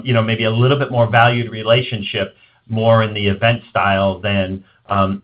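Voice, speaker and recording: A man giving informal, explanatory business advice as a webinar guest, often softening points with casual hedges. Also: mid-range voice. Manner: explanatory, hedging with "kinda" and "you know". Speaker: marketing executive guest. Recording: slightly dull, lo-fi webinar audio.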